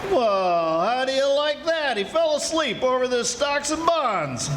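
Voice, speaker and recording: A woman's voice: sits high, very animated, unhurried. A woman playing a brash, streetwise cable car conductor calling out in 1940s radio style. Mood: brash, impatient. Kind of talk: 1940s radio comedy-mystery acting. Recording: noisy live stage recording.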